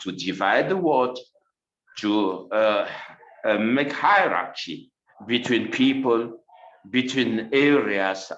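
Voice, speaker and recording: A man who is not a native English speaker talks slowly and haltingly, with plenty of fillers and restarts as he hunts for words. His pitch swings widely from phrase to phrase. The video call sound is roomy and a little dull. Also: a bit bright. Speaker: panel speaker, scholar. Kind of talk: unscripted panel discussion.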